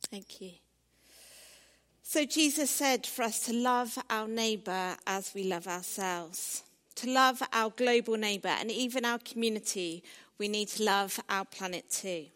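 Slow-paced woman preaching in a hall. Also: medium pitch, a bit bright. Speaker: preacher addressing a church. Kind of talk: sermon. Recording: reverberant hall sound.

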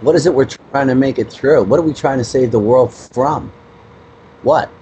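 A man's voice: medium pitch, moderate pace, mellow. A man speaking as an interview guest, explaining at length in an emphatic, repetitive way, full of rhetorical questions. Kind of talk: spiritual discussion, explaining at length. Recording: noisy mobile internet connection.

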